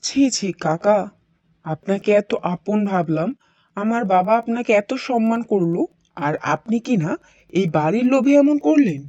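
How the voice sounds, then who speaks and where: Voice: medium pitch, lively intonation, moderate pace, long pauses, a little mellow, no room sound, dull audio, close to an everyday voice. A voice actor playing a polite, earnest grown son in a cartoon. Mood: reproachful. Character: grown son returning home, earnest man. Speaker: cartoon character voice.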